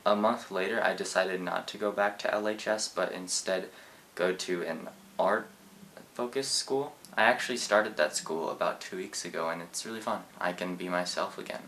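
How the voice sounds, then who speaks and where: Teen boy vlogger from Japan, laid-back rambling storyteller, slightly low voice. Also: moderate pace, noisy background. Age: teens. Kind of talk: casual storytelling.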